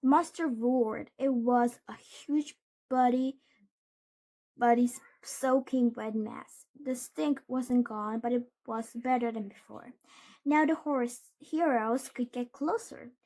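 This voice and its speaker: A woman reading a children's storybook aloud in a deliberate way, with occasional stumbles. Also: a little high, steady tone, a little mellow. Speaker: storybook reader.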